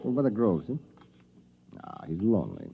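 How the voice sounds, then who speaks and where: A man acting an easygoing, soft-hearted inventor and adventurer in a 1950s radio drama, whimsical and mock-grandiose. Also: fairly low pitch, huge pitch swings, a little fast, vocal fry, heavy background noise, exaggerated voice. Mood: fond musing. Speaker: actor. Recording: dull, muffled vintage radio recording.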